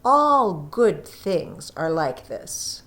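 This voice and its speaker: A woman reading a story aloud as scripted narration, with dramatic emphasis and drawn-out phrases. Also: on the low side, sing-song, fairly bright tone. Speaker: audiobook reader.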